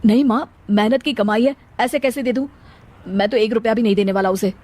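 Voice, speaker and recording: A woman's voice: fairly high pitch, fairly even intonation, a little fast, darkish tone, lightly acted. A woman voicing a stubborn young male shopkeeper son, firm and refusing, in a moral story. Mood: defiant.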